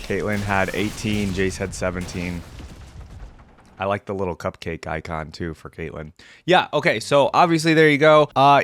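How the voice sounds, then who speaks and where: A man's voice: medium pitch, dry recording. A man hosting a reaction video with casual, conversational commentary, thinking aloud and qualifying his opinions as he goes.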